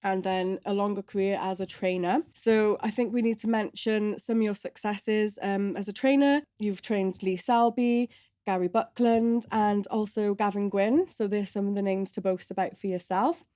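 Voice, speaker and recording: Mellow-voiced woman podcast host. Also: mid-range voice, fairly even intonation, moderate pace, no room sound, clean studio sound. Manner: scripted-sounding introductions, gentle prompting questions. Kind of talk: interview questions.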